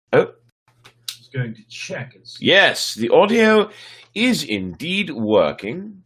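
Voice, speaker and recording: A man hosting a livestreamed story show, chatting casually to viewers in a playful, theatrical, rambling way. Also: lowish voice.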